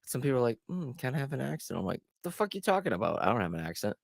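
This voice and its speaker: A young man with a Massachusetts accent rambles as the host of a casual read-along stream, swearing freely and stringing thoughts together with "like". His pitch swings around a lot as he talks, and the sound is dry and close with no room echo. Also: very animated, racing, gravelly. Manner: rambling and profane, full of "like".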